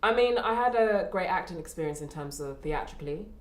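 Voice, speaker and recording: A woman's voice: fairly low pitch, lively intonation, fairly quick. A woman with a London accent speaking candidly in an interview. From Streatham, southwest London, England. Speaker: actor being interviewed. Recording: roomy echo.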